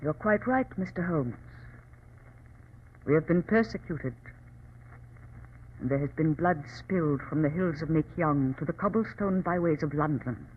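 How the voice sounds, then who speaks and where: A woman's voice: low voice, a little slow, pauses often. An actress playing a proud, defiant female revolutionary leader from China in formal, impassioned 1940s radio drama style. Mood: resolute, solemn. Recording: muffled, scratchy old radio recording.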